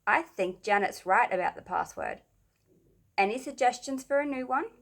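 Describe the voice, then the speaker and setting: Storybook boy club leader read by a woman: grumpy, huffy, bossy, slightly raised pitch. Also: expressive, normal speed, a bit bright. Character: grumpy, officious schoolboy leading a secret club.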